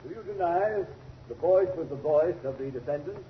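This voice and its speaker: Casting: man as authoritative judge, formal and commanding, highish voice, muffled old-time radio. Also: expressive, moderate pace, close to an everyday voice. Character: stern, authoritative male judge. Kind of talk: 1930s radio acting. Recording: dull, noisy 1930s radio recording.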